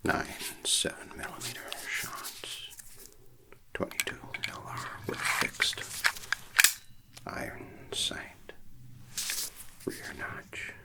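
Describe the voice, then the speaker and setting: A man playing a shady underworld arms dealer in a noir ASMR roleplay, speaking in hushed, deliberate, terse fragments with a gravelly voice. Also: rough recording. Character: Blind Joe, shady slow-talking male underworld arms dealer.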